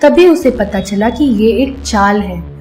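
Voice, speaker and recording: A woman narrating a scripted children's fairy tale in a measured storytelling manner. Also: medium pitch, lively intonation, a little mellow, soft background music.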